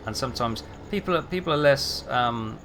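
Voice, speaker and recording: Male streamer, relaxed and chatty, mid-range voice, steady hum behind. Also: medium pitch, moderate pace. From England. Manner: relaxed, chatty, answers chat while playing. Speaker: game streamer. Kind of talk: casual gameplay commentary, chatting with viewers.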